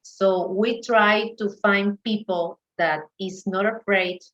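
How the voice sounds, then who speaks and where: A woman's voice: mid-range voice, steady tone, slow pace, a bit bright. A woman with a Mexican accent, a native Spanish speaker, talking as an interview guest and searching for English words. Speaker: panel guest, event organizer. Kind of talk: conversational interview. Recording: dull, lo-fi call audio.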